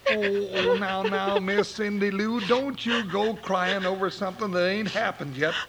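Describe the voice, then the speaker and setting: High-pitched folksy cowboy sidekick. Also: male voice, animated, measured pace, gravelly, fairly bright tone, heavily acted. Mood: reassuring. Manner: folksy drawling Western speech. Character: loyal, excitable, folksy cowboy sidekick, a man. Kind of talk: 1950s radio Western acting. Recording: noisy old-time radio recording.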